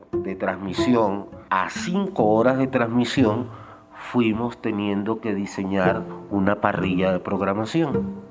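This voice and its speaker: A man reminiscing in an interview, speaking colloquially with some salty language. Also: on the low side. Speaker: interviewee, former guerrilla radio member.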